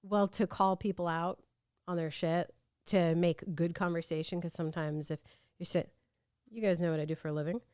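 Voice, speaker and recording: A woman with a slightly low voice, recorded dry with little room sound. She chats casually as a podcast guest, playful and a bit wry with her friends. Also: fairly even intonation, moderate pace, a little mellow, clean tone, dry recording. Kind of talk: casual chat.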